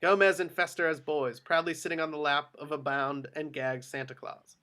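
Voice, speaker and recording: Fairly high-pitched man reading stage directions. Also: fairly even intonation, normal speed, sharp tone. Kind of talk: scripted narration at a table read.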